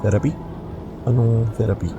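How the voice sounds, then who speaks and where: A man's voice: medium pitch, fairly even intonation, moderate pace, dull audio, music in the background, lightly acted. A man playing a young office worker in naturalistic, conversational audio drama dialogue. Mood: awkward, surprised. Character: casual young office worker chatting on his commute.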